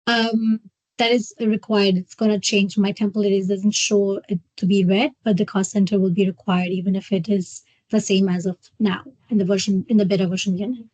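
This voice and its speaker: A woman trainer walks through software on a live online session. Her pitch is mid-range and she explains step by step, somewhat haltingly. The sound is dry, with very little room in it. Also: little pitch movement, no room sound, rough recording. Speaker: software trainer hosting a webinar. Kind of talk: live screen-share product walkthrough.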